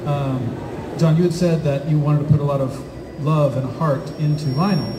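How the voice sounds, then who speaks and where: A man's voice: on the high side, fairly even intonation, moderate pace. A man moderating a convention panel, relaying and steering questions to the guests. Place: indoor convention stage, far camera. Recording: echoey hall, distant, background noise.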